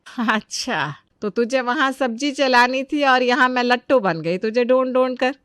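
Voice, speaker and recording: A woman's voice: high voice, measured pace, fairly bright tone, smooth, dry recording, clean studio sound. An actress playing an exasperated middle-aged mother-in-law, sarcastic and nagging, in a comic family story. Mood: sarcastic scolding. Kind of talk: animated family comedy story acting.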